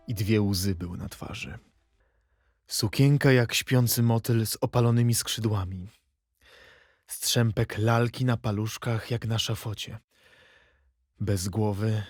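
A man playing a wistful poet reciting memories and verse slowly and dramatically in a literary radio play. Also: lowish voice, slightly rough, no echo, clean studio sound. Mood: sombre. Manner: slow, dramatic, dropping at times to hushed tones.

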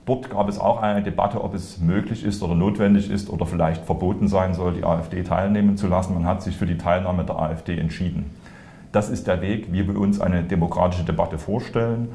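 A man giving measured, explanatory political answers as a party spokesman. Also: deep voice, steady tone, vocal fry, warm tone. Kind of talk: interview answers, political commentary. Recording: roomy echo.

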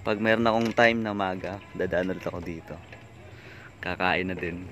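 A man with a Filipino accent giving slow, joking running commentary as he tours a floating restaurant outdoors at night. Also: deep voice, level tone, muffled recording. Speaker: vlogger. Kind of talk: casual touring commentary. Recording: loud music in the background. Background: music playing.

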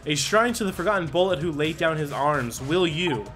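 Excitable young man over game music. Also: fairly high pitch, moderate pace. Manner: excitable, exclaims often, stammers and restarts. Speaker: gaming YouTuber. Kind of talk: casual let's play commentary.

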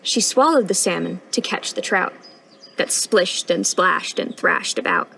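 Young female storytime reader, lively pitch swings, sing-song rhythm, outdoor recording with audible ambient noise. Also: unhurried, gravelly, low-quality recording. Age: young adult. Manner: expressive, sing-song rhyming delivery with emphatic punchlines. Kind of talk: read-aloud children's picture book storytime. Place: outdoors on a wooden porch.

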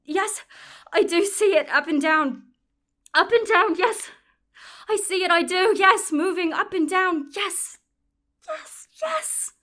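A woman in a radio play acting a blind woman who is regaining her sight, halting and hushed, in short awed fragments. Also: very high-pitched, animated, exaggerated voice. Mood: overjoyed. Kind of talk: dramatic monologue in a radio play.

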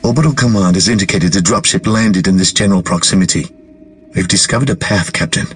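An actor playing a dutiful space marine scout in a video game, reporting in a raspy voice. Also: male voice, sits low, fast talker, soft background music. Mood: businesslike. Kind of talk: scripted game cutscene acting.

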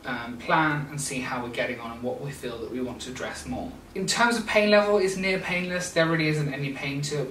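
A man's voice: medium pitch, fairly bright tone, slight background noise. A young man from England who runs a skincare clinic, explaining a treatment to camera in a chatty, informal way with frequent fillers. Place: small clinic room indoors. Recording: roomy, slightly distant camera sound.

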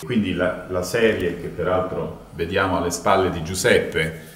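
A middle-aged man interviewing a guest, polite and slightly halting. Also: deep voice, fairly even intonation, fairly bright tone. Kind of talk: interview questions. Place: living room showroom. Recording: echoey room.

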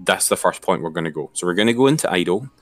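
Male tutorial presenter, deep register, patient and informal, dry sound over soft background music. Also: expressive, very fast, no echo. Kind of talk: informal step-by-step software tutorial.

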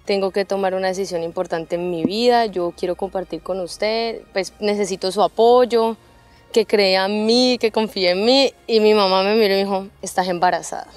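A young woman with a Cali accent from Colombia speaks as an interview guest. She has a mid-pitched voice and an expressive, informal storytelling manner, with faint music behind her handheld microphone. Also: normal speed. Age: 20s. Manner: expressive, informal, acts out remembered dialogue. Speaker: army junior officer, interview guest. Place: open front of a military hangar.

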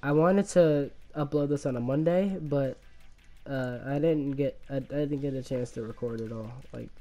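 Mellow-voiced man with a slight cough. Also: mid-range voice, soft background music. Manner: halting, many restarts and fillers, laughs at himself. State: slight cough, voice worn from illness. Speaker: gamer commentating his own gameplay. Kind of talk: casual rambling story over gameplay.

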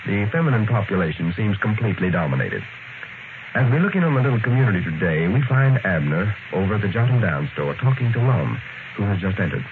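A man's voice: on the low side, sing-song, fast. An American man announcing in polished, formal vintage radio style, reading a scripted script as the programme's presenter. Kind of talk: 1930s-40s radio announcing, scripted ad and narration. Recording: old radio broadcast, narrow band, noisy.

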